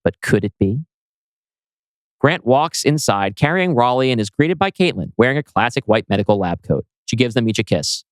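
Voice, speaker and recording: A man's voice: medium pitch, sing-song, normal speed, dry recording. A man narrating a screenplay dramatically as its writer, presenting stage directions and scene changes. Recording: music playing behind voice.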